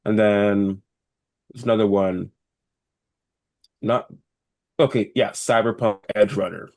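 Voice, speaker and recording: A man co-hosting a casual podcast in a fairly low voice. He talks emphatically, often repeating a phrase to stress it. The sound is close and dry. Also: fairly even intonation, measured pace, a little mellow, no room sound. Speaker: podcast co-host.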